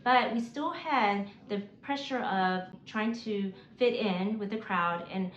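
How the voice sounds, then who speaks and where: A woman in her forties, raised in Mississippi, working through a prepared list of reasons in an earnest, measured way with occasional restarts. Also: medium pitch. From South Korea, raised in Mississippi. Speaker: homeschooling mom. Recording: roomy, slightly dull, faint hiss.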